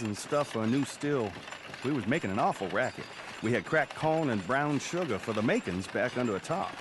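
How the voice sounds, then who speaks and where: A man's voice: mid-range voice, noisy background, close to an everyday voice. An actor playing a plainspoken country man recalling his boyhood, narrating a radio drama with folksy speech. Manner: folksy storytelling.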